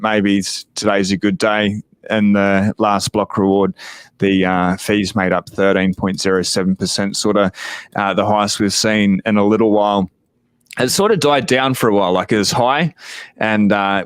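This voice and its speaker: A man's voice: fairly low pitch, clean tone, dry recording. A man hosting a casual livestream chat, rambling and laid-back, swearing now and then. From Australia. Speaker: livestream host.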